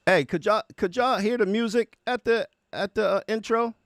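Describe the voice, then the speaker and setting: A man's voice: high-pitched, normal speed, fairly bright tone, dry recording, studio quality. A man hosting a livestream, chatting casually and slangily as he greets viewers by name. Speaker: livestream host of music reaction show.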